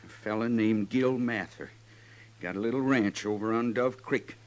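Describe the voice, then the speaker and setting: A man's voice: on the low side, normal speed, close to an everyday voice. An actor playing a grim, vengeful cattleman with rustic, drawling speech in a 1950s radio western. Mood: threatening.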